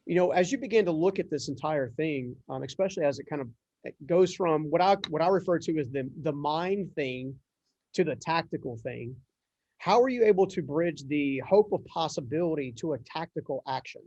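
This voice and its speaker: A man's voice: a little high, normal speed, darkish tone, no room sound, muffled recording. A man hosting a conversational podcast interview, talking in an earnest, wordy and reflective way. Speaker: interview host.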